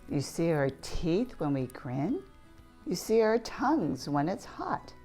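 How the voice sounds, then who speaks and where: Low-pitched, lively woman reading to children. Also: takes it slowly, soft background music. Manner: playful, asks listeners questions and points things out. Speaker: children's read-aloud storyteller. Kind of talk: read-aloud picture book narration for young children.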